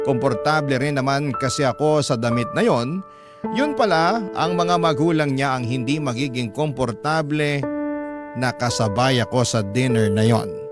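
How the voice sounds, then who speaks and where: A man narrating a love story as a radio host, in an emotive, deliberate storytelling manner. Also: mid-range voice. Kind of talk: dramatic narration of a love letter. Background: music.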